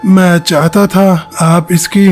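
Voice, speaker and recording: A man voicing a dying old father bird who speaks slowly and weakly. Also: high-pitched, flat delivery, soft background music. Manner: slow, weak.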